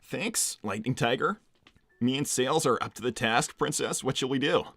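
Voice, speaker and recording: A man playing a cocky, laid-back, slightly dense young hedgehog hero in improvised live roleplay, talking casually and haltingly with plenty of filler words. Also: medium pitch, a bit bright, no room sound, clean studio sound. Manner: casual, halting, lots of "like" and "you know". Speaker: live stream character performer reading donor messages.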